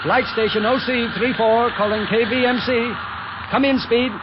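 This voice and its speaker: An actor playing a brisk, no-nonsense secret police pilot giving terse orders in a 1930s radio serial. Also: male voice, very high voice, steady tone. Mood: impatient, commanding. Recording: dull, noisy 1930s radio recording.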